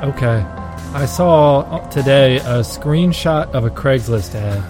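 A man's voice: medium pitch, slow, music in the background. A young adult man co-hosting a casual podcast, telling a story in a rambling way and laughing as he goes. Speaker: podcast co-host. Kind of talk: casual banter.

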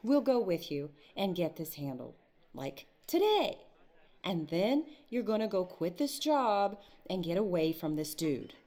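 Blunt young woman, animated delivery. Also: on the low side, unhurried. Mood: scornful, insistent. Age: young adult. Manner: sarcastic, confrontational. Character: blunt, scornful young woman, exasperated with her friend. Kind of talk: audio drama acting. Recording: music behind the voice.

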